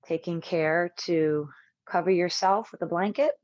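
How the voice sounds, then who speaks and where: A woman instructor leading a guided yoga relaxation, speaking in measured, soothing short phrases with long pauses. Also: on the low side, a little mellow, dry recording, highs cut off.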